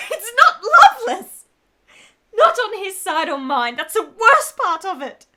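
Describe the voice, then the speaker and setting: A woman's voice: very high voice, sing-song, measured pace, background noise, full character voice. An actress playing a passionate, guilt-ridden married woman in a period radio drama, with theatrical emotion and formal phrasing. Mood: torn.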